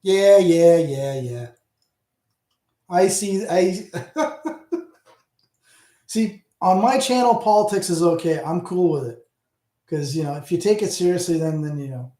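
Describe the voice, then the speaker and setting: A man's voice: high-pitched, unhurried, long pauses, dark tone. Rambling Canadian man in an echoey room. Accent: Canadian, Southern Ontario. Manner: rambling, talks back to chat, trails off. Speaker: channel host. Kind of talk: casual livestream chat. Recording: roomy echo.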